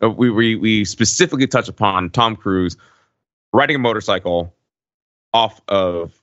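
A man with a slightly low but bright, pressing voice. He discusses films casually as a podcast co-host, starting haltingly and repeating words. The recording is dry and a little dull. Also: no room sound. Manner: halting, often repeats words and checks with "right?".

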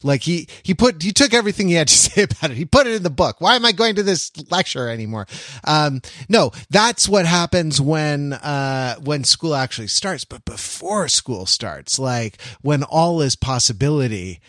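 A man in his forties chatting casually and reflectively as a podcast panelist, in a halting, rambling, wry storytelling manner. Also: on the high side, animated, a bit bright, dry recording, studio quality. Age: 40s.

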